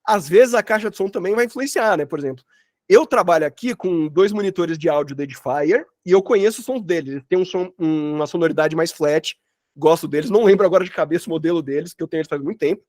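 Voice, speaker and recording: A man's voice: a little high, fast, a little mellow, no echo, clean studio sound. A Brazilian man teaching in an informal, colloquial way, thinking aloud and often rephrasing himself as he explains. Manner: rambling, colloquial, thinks aloud with frequent self-corrections. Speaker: workshop instructor, sound designer. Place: online workshop from own workspace.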